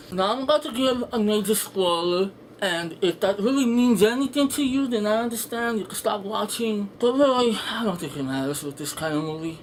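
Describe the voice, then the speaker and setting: A casual video reviewer with a mid-range voice rambles through strong opinions. The delivery is blunt, sarcastic and sweary. Faint room noise and ambience sit behind the voice. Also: medium pitch. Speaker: film reviewer, video host. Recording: noisy home room with background ambience.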